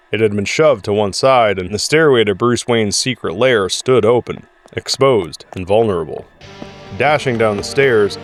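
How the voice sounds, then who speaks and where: A man serving as narrator, reading scripted dramatic story narration. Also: fairly low pitch.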